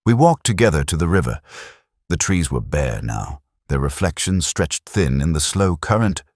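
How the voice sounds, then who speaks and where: Guy doing a brooding middle aged detective telling his case, super low voice, solemn and measured, lots of rise and fall. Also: big pitch swings, no room sound, studio quality, full character voice. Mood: brooding, reflective. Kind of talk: literary first-person scripted narration.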